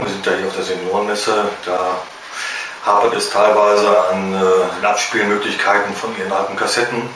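A man in his fifties with a Ruhr German accent, a small business owner giving a halting trade fair statement full of filler sounds. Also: lowish voice. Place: indoor room with shelves. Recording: roomy echo from a distance.

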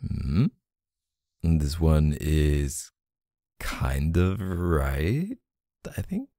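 Man voicing a playful doting boyfriend, ASMR roleplay, dark mellow soft voice, teasing murmurs, close dry sound. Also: sing-song, dry recording, full character voice. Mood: playful, focused. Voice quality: low, gravelly, soft. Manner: teasing, murmuring, hesitant pauses and hums. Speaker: audio roleplay performer.

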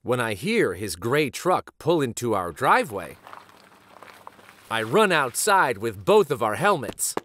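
A man in his forties reading a children's picture book aloud in an expressive, deliberate storytelling style. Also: medium pitch, a little hiss or hum. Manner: animated, deliberate storytelling delivery.

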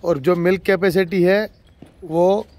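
A man's voice: high voice, fairly even intonation, takes it slowly, darkish tone. A man from Rajasthan, a dairy farmer, shows a cow for sale in a casual spoken walkthrough, heaping on enthusiastic, repetitive praise from off camera in an outdoor farmyard. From Rajasthan, India. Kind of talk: casual spoken livestock showcase.

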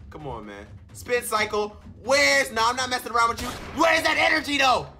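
A young male streamer calls plays and taunts his rival, his voice rising and swinging high with excitement. He laughs and yells between quieter lines. Game music plays loudly behind him. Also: brisk. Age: young adult. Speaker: gaming streamer. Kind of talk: live gameplay commentary and taunting.

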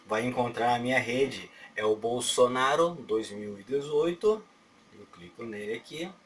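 A man's voice: medium pitch, fairly bright tone. A middle-aged Brazilian man with a Brazilian Portuguese accent, walking through a phone setup in a loose, rambling way, often checking in with "right?". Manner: rambling, fills pauses with "certo?" and mouth sounds like "pá, pá". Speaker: tech tutorial host. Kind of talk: casual how-to walkthrough. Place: small home office at a desk. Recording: distant webcam mic with faint room noise.

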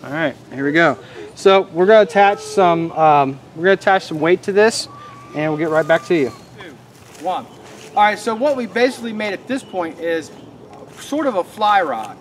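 A man hosting an outdoors channel, casually talking through a how-to demonstration outside in a leafy forest, relaxed and chatty. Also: sits high, moderate pace. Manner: relaxed, chatty, laughs easily. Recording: faint outdoor background noise.

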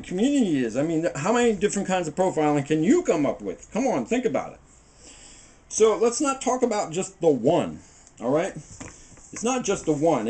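A middle-aged man hosting a home cooking video, giving a rambling, preachy monologue while baking in a small kitchen, picked up by a camera mic a few feet away. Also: on the high side. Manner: preachy, animated, talks while working with his hands. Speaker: home cooking show host. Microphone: camera mic on the table a few feet away.

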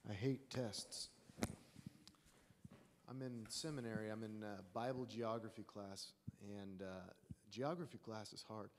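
A man's voice: fairly low pitch, steady tone, measured pace, a little hiss or hum. A young man giving an informal sermon in short, emphatic, repeated sentences. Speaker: preacher, seminary student. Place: church stage, indoors. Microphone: handheld microphone. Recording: echoey hall with long reverb.

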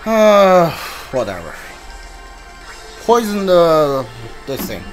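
A man's voice: a little high, wildly swooping pitch, very slow, faint music behind. A man giving casual live gameplay commentary, muttering to himself and correcting himself as he plays. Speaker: gamer, streamer.

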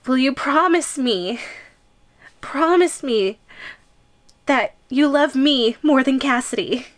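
A woman playing a lovesick, possessive yandere giantess in an audio roleplay, giggly and coaxing with a halting delivery. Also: sits high. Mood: pleading, unhinged. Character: clingy, lovesick yandere giantess, sweet but menacing.

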